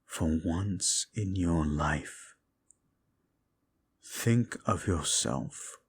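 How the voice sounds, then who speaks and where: A very deep, gravelly fallen demon, voiced by a man in an audio drama, ancient and bitter. He talks slowly and with contempt, sinking to near whispers and then rising to shouting. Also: full character voice. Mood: pleading. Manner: contemptuous, swings from hushed to shouting. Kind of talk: audio drama roleplay to a listener.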